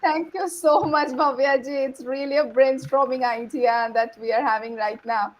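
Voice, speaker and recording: A woman's voice: sits high, fairly even intonation, normal speed, lo-fi sound. A woman with a Bengali accent, a native Bengali speaker, moderating an online session with gracious, upbeat remarks. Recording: faint background noise.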